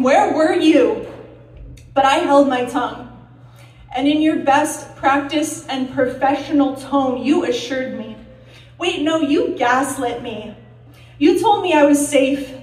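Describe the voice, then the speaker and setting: A woman reciting slam poetry in a deliberate, dramatic, emotionally intense way, with pauses and emphasis. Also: high voice. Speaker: slam poet. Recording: roomy echo, distant mic.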